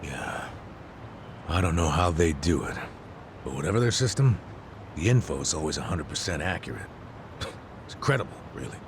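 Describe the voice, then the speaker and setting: An actor playing a world-weary, wry and loyal middle-aged sidekick in a video game dub. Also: male voice, rumbling low, expressive, moderate pace, pauses often, noisy background. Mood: impressed.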